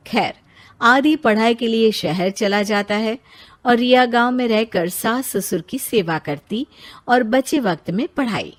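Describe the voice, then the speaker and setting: A woman narrating a scripted story. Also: medium pitch.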